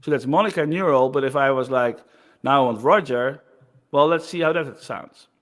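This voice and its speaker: A man giving a casual screen-share demo of software, loose and chatty with frequent fillers. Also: mid-range voice, normal speed. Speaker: software developer presenting.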